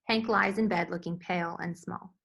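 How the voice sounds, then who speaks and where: A woman's voice: fairly low pitch, steady tone, normal speed, creaky, fairly bright tone, husky. A woman reading stage directions as scripted narration at a screenplay table read.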